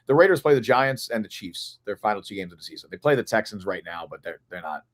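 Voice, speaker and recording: A man with a hoarse, raspy voice from losing it, co-hosting a sports podcast and thinking aloud in long, earnest run-on stretches. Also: lowish voice, big pitch swings, fast. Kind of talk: casual sports analysis chat.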